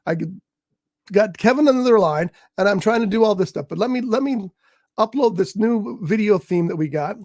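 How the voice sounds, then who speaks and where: A man hosting a casual showbiz talk show, rambling enthusiastically about a movie, his voice croaky today. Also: sits high, animated, brisk, a little mellow, no room sound, clean studio sound. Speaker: show host. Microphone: studio mic on a live stream.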